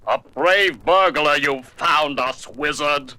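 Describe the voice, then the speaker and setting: Forceful, proud dwarf leader. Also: male voice, on the high side, slow pace, heavily acted. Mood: stern. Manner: commanding, formal. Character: proud, stern dwarf leader, richly dressed. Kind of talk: children's storybook record acting.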